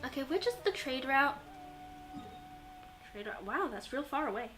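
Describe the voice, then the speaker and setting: Female game streamer, bright forward voice, enthusiastic casual commentary, faint music underneath. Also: high voice, moderate pace, poor audio. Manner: giggly, enthusiastic, excitable. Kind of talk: casual gameplay commentary, chatting.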